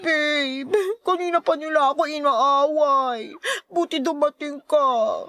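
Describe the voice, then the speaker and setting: A woman's voice: slow pace, fairly bright tone, dry recording, heavily acted. A woman playing a spiteful, jealous shopkeeper in a Filipino radio drama, bickering and two-faced, with a Filipino accent. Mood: whiny, fake-sweet. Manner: bickering, shrill, fake-sweet.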